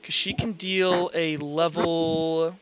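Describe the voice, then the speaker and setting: A man working as game master for a tabletop roleplaying game, narrating off the cuff in a casual, halting way. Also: a little high, flat delivery, a bit bright, a little hiss or hum. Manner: casual, halting, uses filler words. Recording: dull lo-fi, music underneath. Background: background music.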